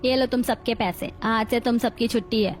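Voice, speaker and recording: Villainous middle-aged mother-in-law for a moral story, female actor: high voice, commanding, cruel, scheming. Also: moderate pace, lightly acted. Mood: menacing. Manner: menacing, commanding.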